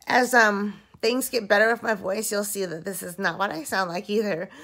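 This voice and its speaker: Hoarse, exhausted woman vlogging from bed. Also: mid-range voice, steady tone, a bit bright. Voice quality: dry-mouthed, rough voice. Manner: slow, drowsy, rambling. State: hoarse and very tired after surgery. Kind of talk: casual recovery video diary. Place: lying in bed at home, indoors. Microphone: phone held at arm's length.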